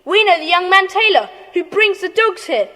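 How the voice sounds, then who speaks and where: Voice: very high-pitched, animated, moderate pace, pushed and bright, exaggerated voice. An actor playing a bold, earnest schoolboy gang leader in a children's audio drama. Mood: indignant. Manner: earnest, commanding, urgent. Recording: echoey hall reverb.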